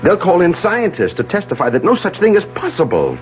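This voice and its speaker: An actor playing an anguished, earnest man in a 1970s radio drama, speaking urgently and haltingly with heavy emphasis. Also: high-pitched, huge pitch swings. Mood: grave, persuading. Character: anguished, earnest man protecting a troubled woman. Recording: dull 1970s AM radio broadcast, faint music.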